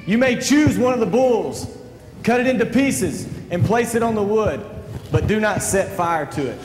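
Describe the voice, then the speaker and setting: A man's voice: high voice, lively intonation, moderate pace. A man playing a bold, defiant prophet in a children's Bible stage play, declaiming with emphasis. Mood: solemn, challenging. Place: auditorium stage. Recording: echoey auditorium, voice at a distance, faint noise.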